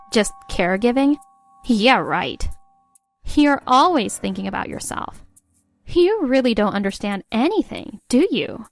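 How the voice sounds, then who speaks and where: A woman's voice: fairly high pitch, sing-song, unhurried. An actress playing a fed-up young wife who narrates her own story in an exasperated, sarcastic way, with faint music behind. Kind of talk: scripted narration.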